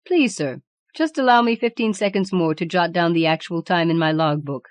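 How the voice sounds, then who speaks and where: A woman's voice: fairly low pitch, normal speed, no echo, close to an everyday voice. A woman playing a polite, formal male ship's captain in a storybook. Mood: pleading.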